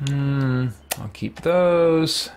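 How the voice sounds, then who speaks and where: Middle aged guy streaming a game, chatty, kinda mumbling thru his decisions out loud, normal pitch. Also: extremely slow, clean tone. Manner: musing, deliberating out loud. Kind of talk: casual gameplay commentary, thinking aloud. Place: indoor room with shelves.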